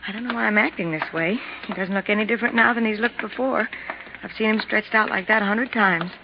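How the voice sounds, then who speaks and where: Actress as uneasy apartment manager, muffled recording. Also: female voice, mid-range voice, quick pace, lightly acted. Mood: shaken. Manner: shaken, hesitant. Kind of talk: 1950s radio drama acting. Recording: muffled, hissy old radio recording.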